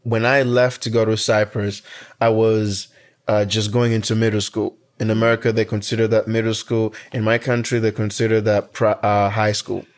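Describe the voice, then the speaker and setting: Lower-voiced man in his twenties. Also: little pitch movement, moderate pace. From Kenya. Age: 20s. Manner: storytelling, frequent restarts and fillers. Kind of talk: casual conversation.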